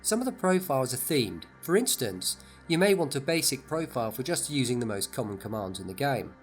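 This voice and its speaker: A man's voice: medium pitch, very animated, a little fast, soft background music. Man narrating a product promo. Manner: enthusiastic, explanatory.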